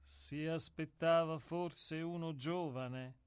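A man's voice: fairly high pitch, fairly even intonation, slow, smooth, no echo. A man playing an elderly night intruder in a radio play, speaking tersely and politely.

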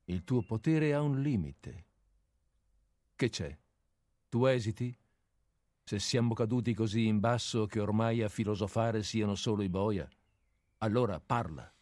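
A man plays a condemned man in a radio drama, sarcastic and bitter as he faces his own executioner. His voice is low and recorded very dry and close. His remarks come out scornful and cutting. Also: unhurried, long pauses, darkish tone, dry recording, natural voice. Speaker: actor in a radio play.

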